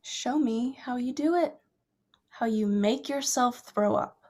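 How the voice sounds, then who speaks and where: A woman's voice: a little high, slow, darkish tone. A young woman in a staged play reading, acting a guarded, lovesick girl with a hesitant, confessional delivery. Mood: subdued.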